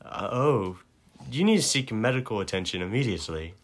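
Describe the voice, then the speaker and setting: A man playing a laid-back, overconfident rebel adventurer in an improvised game fandub, with a casual, hesitant, deadpan delivery. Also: lowish voice, a little fast. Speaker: amateur fan-dub voice actor.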